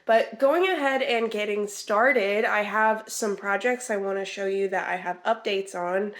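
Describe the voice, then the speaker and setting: A woman, chatty and relaxed, giving crochet project updates in a vlog; she sounds congested and a little nasal from a sinus infection. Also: mid-range voice, a little slow. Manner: chatty, relaxed, many ums and restarts. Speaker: crochet vlogger. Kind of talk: casual vlog chat.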